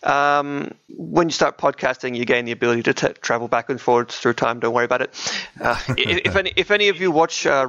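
A man's voice: on the high side, bright, forceful tone. A man co-hosting a podcast, chatting casually about video games in a chatty, dryly joking way. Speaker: podcast co-host. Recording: muffled, roomy home recording.

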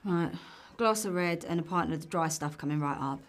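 An actress playing a weary, sweary, sarcastic barmaid in a dark comedy audio drama, blunt and dry. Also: female voice, on the low side, brisk, close to an everyday voice. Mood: businesslike.

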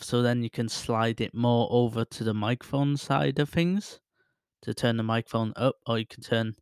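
A man's voice: mid-range voice, no room sound. Chatty man reviewing a microphone up close. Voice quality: voice others call soothing. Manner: rambling, self-deprecating, laughs often. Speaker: tech reviewer testing a microphone. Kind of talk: casual product review. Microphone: close studio microphone with headphones.

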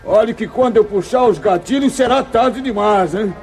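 Um ator brasileiro interpretando um fora da lei vingativo e fanfarrão, em tom teatral e provocador de radionovela de faroeste dos anos 1950. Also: male voice, high voice, normal speed, a little mellow. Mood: taunting menace. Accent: Brazilian. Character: menacing male outlaw gunslinger, vengeful, boastful. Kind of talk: 1950s radio western acting. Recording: old radio drama with music behind.

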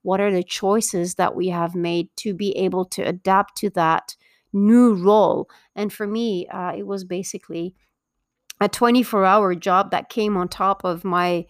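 A middle-aged woman from Belgium hosting her own podcast as a parenting coach, talking earnestly and upbeat with many filler pauses. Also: mid-range voice, fairly even intonation, no echo, clean studio sound. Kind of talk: solo podcast introduction.

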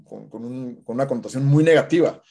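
A man's voice: medium pitch, quick pace, gravelly, dark tone. A man, an instructor explaining patiently in an interview, full of fillers and restarts. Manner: hesitant, many restarts and fillers. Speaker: certified cold-exposure instructor. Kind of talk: conversational interview answer.

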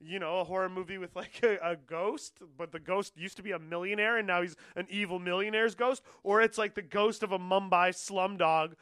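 High-voiced man rambling in casual chat. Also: steady tone, brisk, few pauses, bright, forceful tone, clean tone, no echo, studio quality. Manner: rambling, filler-heavy, laughs a lot. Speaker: podcast co-host. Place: small self-tape studio.

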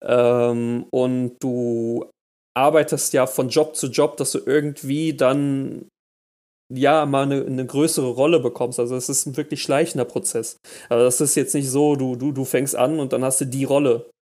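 A man's voice: medium pitch, normal speed. A man co-hosting a casual podcast chat, talking animatedly and tripping over his words when he gets going. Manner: animated, restarts and stammers when excited. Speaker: podcast co-host.

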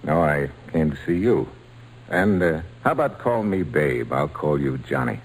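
A gruff, kindly baseball slugger in an old radio play. The voice is low and husky, with lively swings of pitch. He talks plainly and folksily. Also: moderate pace, exaggerated voice. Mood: kindly, encouraging. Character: big-hearted baseball slugger, gruff but kind to children. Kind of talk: old-time radio drama acting.

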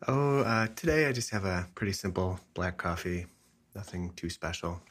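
A man's voice: deep voice, expressive, normal speed, a bit bright. A man co-hosting a casual chat from his office, easygoing and joking in a friendly way. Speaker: podcast co-host.

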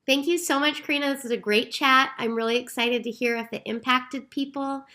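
A woman co-hosting a podcast in calm, explanatory casual chat. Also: high voice, brisk. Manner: calm, explanatory, recaps steps. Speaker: podcast co-host.

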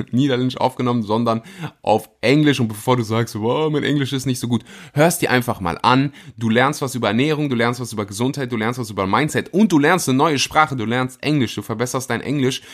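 A man of middle pitch hosting his own podcast. He talks casually and with plenty of energy, pushing ahead and then slowing down to stress a point. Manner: energetic, enthusiastic, speeds up and slows for emphasis. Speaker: podcast host.

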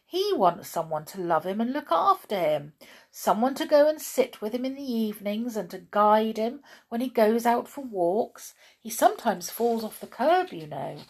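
Woman playing a kindly little storybook gentleman, creaky voice. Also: on the high side, very animated, moderate pace, pitched above the performer's own voice. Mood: kind, encouraging. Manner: gentle, earnest, sympathetic. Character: kindly little fat man, gentle and encouraging.

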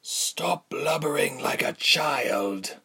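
A man voicing a sinister commander in an audiobook, coarse and breathy, speaking slowly with quiet, cold derision. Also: a little high, expressive, whispered, pitched above the performer's own voice, full character voice. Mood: cold menace. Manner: slow, drawling, quiet and derisive. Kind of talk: audiobook character voice.

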